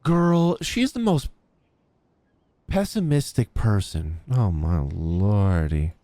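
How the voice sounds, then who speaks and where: Animated male game streamer, swinging pitch. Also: slow pace, no echo. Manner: expressive, blunt, slangy, talks back to game characters. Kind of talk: casual gameplay commentary.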